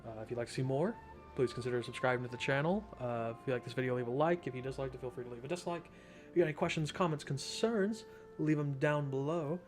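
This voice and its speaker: A man's voice: mid-range voice, fast talker, faint music behind. A man doing casual let's play commentary as a streamer, with wry, joking asides. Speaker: video game streamer.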